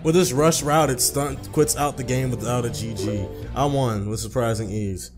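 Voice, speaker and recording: A young man reads story text aloud as host of a gaming video. His voice is medium-pitched and his delivery informal and profane, with clear music running behind him. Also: normal speed. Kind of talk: reading a visual novel aloud with casual commentary.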